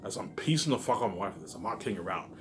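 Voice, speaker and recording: A man's voice: fairly low pitch, expressive, racing, a little hiss or hum. A man in an agitated, confrontational unscripted rant, repeating himself and swearing often. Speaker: online video maker.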